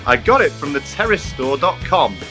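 A man acting out a scripted comic phone-call advert, pitching a product excitedly. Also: normal speed, soft background music.

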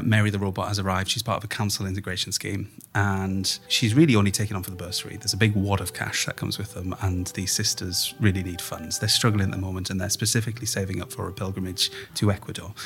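A man's voice: fairly low pitch, fairly bright tone. A man, a playwright in an interview, explaining things in a conversational storytelling way.

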